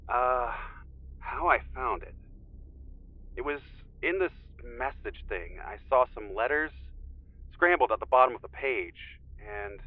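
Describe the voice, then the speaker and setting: An easygoing Zen teacher phoning in to an audio drama. The sound is dull and radio-like, as over a remote call, and very dry. His voice moves in lively, gently teasing swings. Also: mid-range voice, dry recording. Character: mellowed male ex-contestant turned Zen teacher, gently teasing. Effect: remote call filter. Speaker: podcast guest. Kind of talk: audio drama phone interview.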